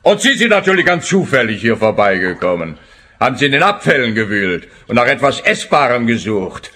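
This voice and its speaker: A man's voice: medium pitch, very animated, fairly quick, bright, forceful tone, slight background noise. An actor playing a sneering, pompous policeman in a radio play, lecturing with heavy sarcasm. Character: sneering, pompous policeman taunting a private detective. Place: radio drama studio.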